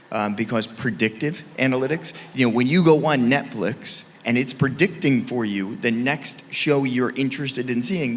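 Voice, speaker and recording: A man's voice: mid-range voice, a little hiss or hum. Emphatic man speaking in a reverberant hall. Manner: emphatic, punchy, speeds up and slows down for stress. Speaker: panelist at an industry conference. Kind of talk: conference panel answer. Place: conference hall.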